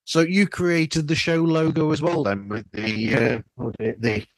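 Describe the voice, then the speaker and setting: A man's voice: fairly high pitch, level tone, measured pace, dry recording. A man hosting a casual interview, talking in an enthusiastic, rambling way through a troublesome microphone. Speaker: podcast host. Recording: flawed, troublesome microphone sound.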